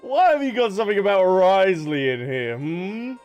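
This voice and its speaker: A man with a fairly high voice chats through a game stream, playful and teasing. Soft music plays behind him as he comments and reads on-screen text out in a casual way. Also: animated, normal speed, clean tone, highs cut off.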